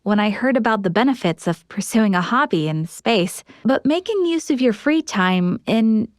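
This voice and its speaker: A woman's voice: a little high, lively intonation, normal speed, no room sound, studio quality, natural voice. An actress voicing an earnest, modest young woman, a space officer from a prestigious family, in a video game, speaking politely and sincerely. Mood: friendly, chatty. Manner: polite, self-effacing, sincere.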